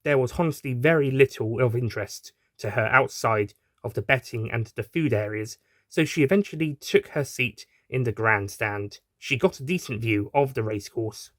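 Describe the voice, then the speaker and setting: A man narrates a scripted audio story. His voice sits at a middling pitch. The sound is dry, with next to no room in it. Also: normal speed, a bit bright, dry recording. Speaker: writer and narrator.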